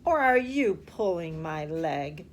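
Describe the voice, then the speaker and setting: A woman's voice: low-pitched, expressive, takes it slowly, slight background noise, pitched below the performer's own voice. Woman acting a wide-eyed, eager little boy. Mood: amazed, questioning. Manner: earnest questioning, breaking into exclamations.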